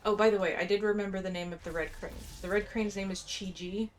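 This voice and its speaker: A woman's voice: mid-range voice, steady tone, fast. A woman giving casual, informal gameplay commentary, musing aloud and reading bits of quest text. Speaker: game streamer. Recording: faint background noise and game ambience.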